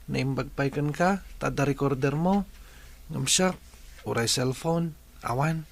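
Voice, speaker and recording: A man's voice: mid-range voice, measured pace, creaky. A man acting as an earnest young student in an audio drama.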